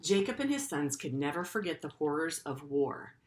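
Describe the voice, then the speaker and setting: A woman's voice: low voice, very animated, moderate pace, a little hiss or hum. A middle-aged woman teacher reading a story aloud to pupils in an earnest way, with simple, informal explanatory asides.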